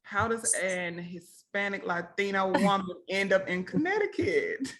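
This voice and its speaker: A woman hosting a web interview show on a video call, bubbly and quick to laugh. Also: mid-range voice, animated. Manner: bubbly, laughs often, repeats "very nice". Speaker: host.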